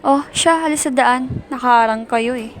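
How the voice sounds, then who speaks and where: A woman's voice: high voice, moderate pace, a little hiss or hum, close to an everyday voice. A woman playing a brusque, snappy schoolgirl in an amateur radio drama. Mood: curt, irritated. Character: brusque, snappy schoolgirl brushing younger kids aside.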